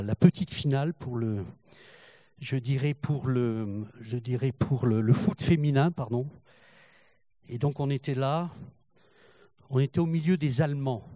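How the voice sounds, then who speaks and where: An older man preaching a sermon in French, halting and repeating words, rising in fervor as he goes. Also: medium pitch, warm tone, dry recording. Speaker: pastor.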